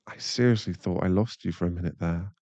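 Low, gravelly man voicing a tender werewolf boyfriend. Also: dark tone, dry recording, dull audio. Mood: shaken, rambling. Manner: halting, rambling, soothing, breathy sighs. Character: male werewolf boyfriend, shaken, tender, protective, anxious. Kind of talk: ASMR boyfriend roleplay, scripted audio.

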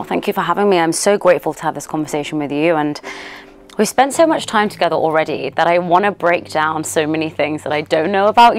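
Woman podcast host, kinda low voice, eager questions, soft music in back. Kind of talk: interview questions. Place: bright studio office with plants.

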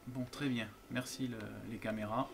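A man's voice: medium pitch, steady tone, moderate pace, noisy background. A man commenting casually and playfully while he plays a game, joking and laughing often. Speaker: streamer playing a horror game.

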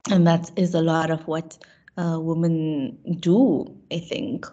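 A woman's voice: low voice, fairly even intonation, slow pace, dark tone. A woman in her thirties with a South African accent and a slight nasal cold, answering thoughtfully on a video call with many fillers and restarts. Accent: South African English. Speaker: panelist, lecturer. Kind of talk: webinar panel answer. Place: room at home with bookshelves. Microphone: laptop webcam video call. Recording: dull lo-fi video call audio.

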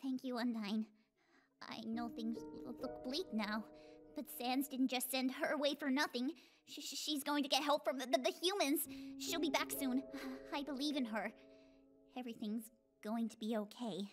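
A woman voicing an anxious, gentle female reptile monster scientist in a comic dub, pleading in a nervous, halting stammer. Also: high voice, steady tone, music in the background, close to an everyday voice. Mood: gentle reassuring.

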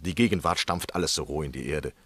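An actor playing a courteous, eager gentleman scholar in a radio drama, speaking in a measured, ingratiating way. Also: extremely low pitch, breathy edge, no echo. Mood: earnest.

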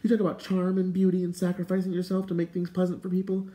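Man as a high-pitched, scolding young girl. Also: completely flat delivery, fast, warm tone, natural voice. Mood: indignant. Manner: blunt, exasperated scolding. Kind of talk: audiobook character voice.

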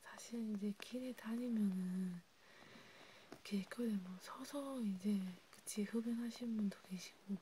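Woman doing whispery asmr chat, breathy n hushed, mellow tone, bit of steady noise behind. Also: mid-range voice, a little slow. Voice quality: breathy, mostly unvoiced whisper. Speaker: ASMR roleplay creator.

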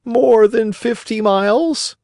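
Big storybook pigeon, very high-pitched, creaky, helpful, wise. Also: male voice, slow pace, a little mellow, no room sound, studio quality, full character voice. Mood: informative. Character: large, kindly male pigeon, helpful and wise.

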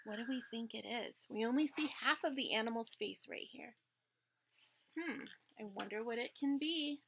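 A woman's voice: on the high side, moderate pace, gravelly, noisy background. A woman in her thirties reading a picture book aloud to children as a teacher, prompting with questions and wondering aloud. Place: indoors in front of a dark curtain.

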